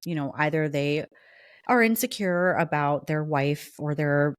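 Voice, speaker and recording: A woman co-hosting a podcast, her voice unusually low for a woman. She talks things through steadily and casually. The recording is dry, with no room sound. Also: lively intonation, a little slow, clean tone, no room sound. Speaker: podcast co-host.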